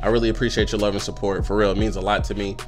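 A young adult man hosting a music reaction video, chatting casually in an excitable, slangy way that is full of laughter, recorded close on a studio mic in a small room. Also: lowish voice, racing. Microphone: studio mic on a boom, headphones on.

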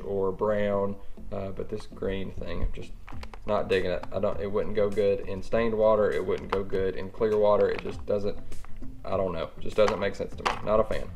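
Low-voiced American man, casual reviewer. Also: a little mellow. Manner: informal, addresses viewers as y'all. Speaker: fishing channel host. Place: indoors at a cluttered worktable.

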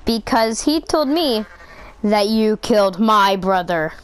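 A woman voicing a boastful, taunting old goat villain who is now a giant T-Rex, in a high-pitched voice in an amateur game machinima. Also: lively intonation, slow, clear, resonant tone.

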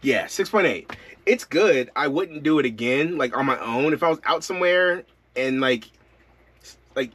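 A man in his thirties talks casually to camera from inside his camper van. His voice sits at an ordinary middle pitch, and he rambles along in an easygoing way, full of likes and ums. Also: no echo. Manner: relaxed, rambling, with frequent fillers. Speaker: van-life vlogger.

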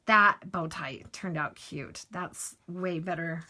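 A middle-aged woman with a hoarse morning voice, chatting casually while demonstrating a craft project. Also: lowish voice, slow pace, sharp tone. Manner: chatty, rambling asides while working. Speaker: crafting tutorial host.

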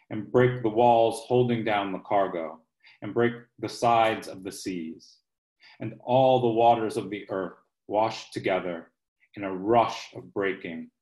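Low-voiced man in an echoey room. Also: a little mellow. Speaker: poet and series host. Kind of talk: hosting and reading at a virtual poetry event. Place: home kitchen, indoors. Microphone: laptop at arm's length, video call. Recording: roomy, echoey video call sound.

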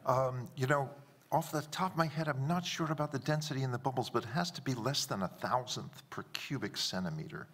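A man's voice: medium pitch, brisk, fairly bright tone. A man astronomer on a panel who answers a press question in an offhand, hedging way.

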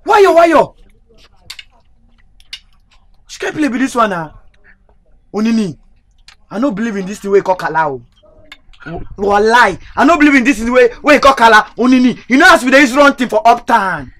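An actor playing a doubtful, easily panicked young Nigerian sidekick with a Nigerian Pidgin accent and an excitable, pleading manner. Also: male voice, fairly quick. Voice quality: very high bright voice, pleading, excitable. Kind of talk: comedy skit acting.

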